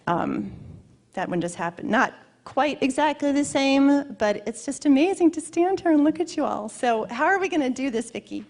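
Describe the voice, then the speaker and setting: Higher-voiced woman hosting a live event. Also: lively intonation, normal speed, a little mellow. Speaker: awards event host on stage.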